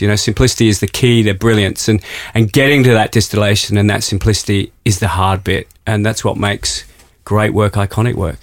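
A man, an agency chief executive, answering interview questions in a measured, thoughtful way with plenty of "uh"s. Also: fairly low pitch. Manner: measured, thoughtful, many "uh" fillers. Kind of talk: casual interview chat.

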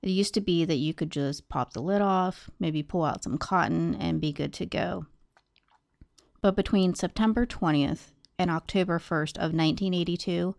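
A woman's voice: fairly low pitch, creaky, a little mellow, no echo, studio quality. A woman hosting a true crime podcast, talking to listeners and narrating. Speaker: podcast host.

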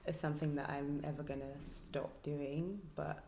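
A hairstylist and artist from Nigeria, speaking quietly and reflectively in a casual interview, halting with ums. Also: female voice, low-pitched, flat delivery, creaky. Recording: echoey room with faint noise.

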